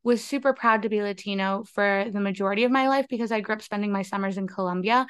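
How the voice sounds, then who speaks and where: Young female podcast host, casual and candid, smooth tone, dry close recording. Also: mid-range voice, no echo. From United States. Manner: chatty, candid, quick asides.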